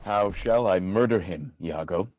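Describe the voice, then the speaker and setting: A man playing a tormented, jealous general and husband in a Shakespearean radio drama, husky and dramatic, swinging between brooding and outcry. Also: low voice, a little slow, a little mellow, lo-fi sound, a little hiss or hum. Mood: brooding anguish.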